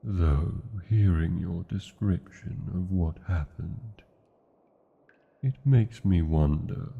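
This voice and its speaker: A British man playing a tender, brooding dragon lover in a romantic fantasy ASMR roleplay, speaking formally with old-fashioned endearments in a gravelly, husky voice. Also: low-pitched, slow pace, heavily acted. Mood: tender, pensive. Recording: muffled, narrow phone-like sound.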